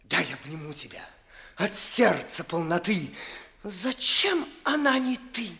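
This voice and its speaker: An actor playing a servile, fawning young secretary and false suitor in a 1950s theatrical radio play, ingratiating and pleading. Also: male voice, high-pitched, sing-song, slow pace, creaky, sharp tone, full character voice. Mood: amorous.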